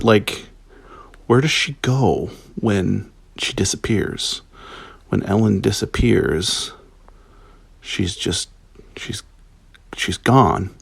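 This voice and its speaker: A man's voice: on the low side, creaky, a little mellow, husky, dry recording. A man giving a casual spoken review as listener feedback, rambling and hesitant as he thinks aloud.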